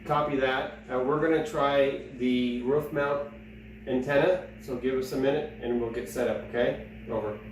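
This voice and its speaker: A man's voice: medium pitch, steady tone, normal speed. Man, plain mid voice, practical instructions, radio lingo, far from mic, very echoey room, faint noise. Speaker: radio setup helper.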